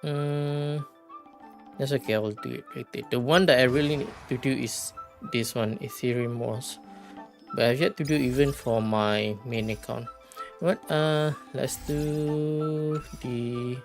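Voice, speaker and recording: A game livestreamer chatting casually, rambling and halting while thinking aloud. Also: mid-range voice, fairly even intonation, vocal fry, faint music behind. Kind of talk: casual gaming stream chat.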